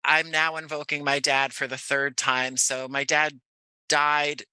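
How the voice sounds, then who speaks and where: Bright-voiced, thoughtful American man. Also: a little high, level tone, a little slow, clear, resonant tone, no room sound. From Jersey City, United States. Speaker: podcast guest, audio playwright. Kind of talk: reflective conversational interview.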